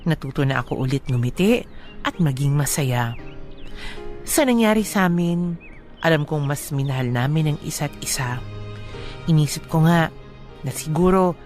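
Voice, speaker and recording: A woman playing a wife who narrates her own life story in a Filipino radio drama, measured, wistful and heartfelt. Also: low voice, animated, darkish tone. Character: married woman recounting her own sad love story. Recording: faint music behind the voice.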